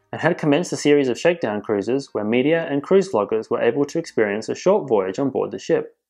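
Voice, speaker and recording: A man presenting cruise industry news in measured, scripted voice-over narration. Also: mid-range voice, a little mellow. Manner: measured, informative delivery. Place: voice-over, not in pictured places.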